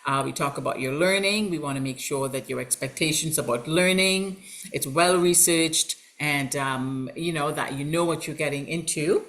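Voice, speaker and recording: A woman's voice: low-pitched, moderate pace, a bit bright. An upbeat, welcoming woman hosts an online information session for a college. She speaks over a video call mic and fills her sentences with ums and uhs. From Trinidad and Tobago. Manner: upbeat and welcoming, with frequent fillers. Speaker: college recruitment representative and host.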